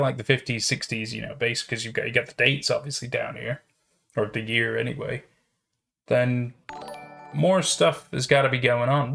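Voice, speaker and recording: A man's voice: mid-range voice, vocal fry, fairly bright tone. A man casually chatting and reacting as a livestreamer, musing aloud and often repeating his phrases. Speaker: streamer reacting to horror videos.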